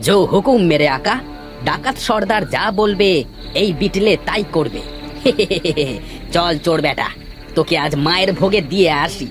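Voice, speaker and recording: A man voicing a servile, jumpy bandit henchman in a cartoon, fawning and excitable. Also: high-pitched, unhurried. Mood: eager, bossy. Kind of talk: Bengali cartoon voice acting. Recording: music behind.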